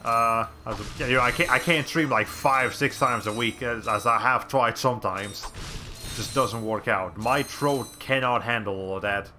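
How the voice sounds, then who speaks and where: Raspy chatty male game streamer. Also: mid-range voice, moderate pace, pushed and bright, soft background music. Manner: rambling, chatty, frequent restarts. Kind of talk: casual gameplay commentary.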